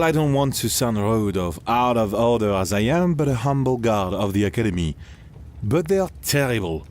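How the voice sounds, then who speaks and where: A courteous, humble 1700s guard acted by a man speaks in a mid-range, lively voice. His phrasing is formal and old-fashioned, as in an audio drama, with faint noise behind. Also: medium pitch, lightly acted.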